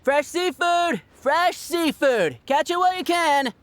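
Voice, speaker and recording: A woman's voice: sits high, expressive, measured pace, a little hiss or hum. A woman voicing an eager, chatty, easily exasperated fishmonger at a market in a video game. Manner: sing-song hawking, chatty. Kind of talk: video game character acting.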